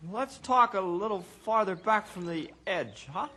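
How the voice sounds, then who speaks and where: Eager, high-voiced young sidekick. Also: male voice, a little slow, over music. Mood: uneasy, curious. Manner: earnest, inquisitive. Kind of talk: old-time radio drama acting.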